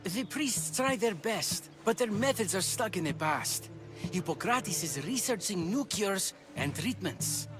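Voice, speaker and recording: High-pitched, nervous young apprentice. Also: male voice, animated, measured pace, over music. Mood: earnest. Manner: flustered, eager, deferential. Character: nervous, earnest male apprentice physician in ancient Greece. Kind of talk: video game cutscene dialogue.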